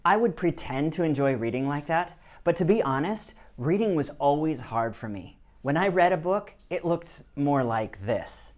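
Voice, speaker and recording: A man's voice: a little high, lively intonation, normal speed, few pauses. Man narrating an educational video over music. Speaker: presenter of an educational video on reading. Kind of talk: scripted narration with first-person story. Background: background music.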